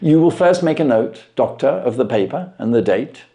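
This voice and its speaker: A man voicing a brilliant, self-assured gentleman detective from a storybook, precise, articulate and dryly amused. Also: mid-range voice, expressive, moderate pace, pitched above the performer's own voice, lightly acted. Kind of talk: storybook character voice.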